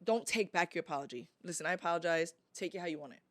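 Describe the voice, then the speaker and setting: A woman's voice: fairly low pitch, racing, no echo. A woman hosting a podcast in casual chat, who acts out little quotes as she talks.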